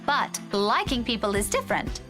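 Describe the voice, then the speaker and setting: A woman's voice: a little high, big pitch swings, moderate pace, fairly bright tone, voice pushed up. A woman voicing a prim, easily outraged young female schoolteacher in a visual novel, emphatic and exasperated. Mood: gentle, flustered. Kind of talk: visual novel character voice-over. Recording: music behind the voice.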